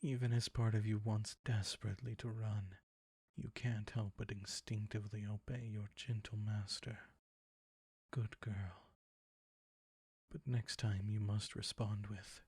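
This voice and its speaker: Man playing a slow, husky, seductive vampire count. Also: fairly low pitch, darkish tone, dry recording, studio quality, exaggerated voice. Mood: gloating, commanding. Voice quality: husky, breathy, often near a whisper. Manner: slow, deliberate, silky teasing, commanding. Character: seductive, predatory, possessive vampire count, outwardly handsome man. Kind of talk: intimate scripted audio roleplay.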